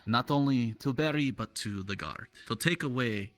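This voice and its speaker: A man improvising in-character roleplay dialogue, speaking in a halting, reflective way with dropped articles. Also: medium pitch, fairly bright tone, no echo, soft background music. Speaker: roleplayer in an online game.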